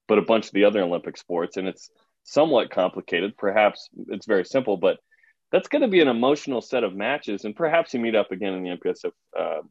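Lively low-voiced interviewer, slightly dull. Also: male voice, no echo. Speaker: radio show interviewer. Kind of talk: sports interview.

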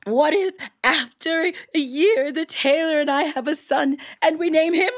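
A woman playing a wailing, high-pitched foolish young bride. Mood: tearful dread. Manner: wailing, drawn-out words. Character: foolish young bride, melodramatic, weeping over imagined tragedy.

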